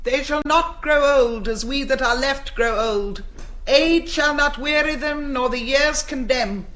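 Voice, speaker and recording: A solemn ceremonial reciter in a stage drama. The voice is extremely high and bright, intoning each phrase slowly as at a remembrance service. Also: male voice, heavily acted.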